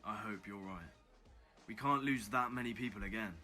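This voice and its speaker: A man's voice: lowish voice, moderate pace, bright, forceful tone, soft background music. A British man playing a wary, brusque underground club MC and hardened rebel in an audio drama. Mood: uneasy.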